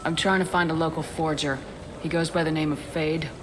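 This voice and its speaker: A woman playing a steady military commander in a video game, speaking in a direct, composed, questioning manner. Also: low-pitched, fairly quick, noisy background, close to an everyday voice. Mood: firm, businesslike. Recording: loud music behind the voice.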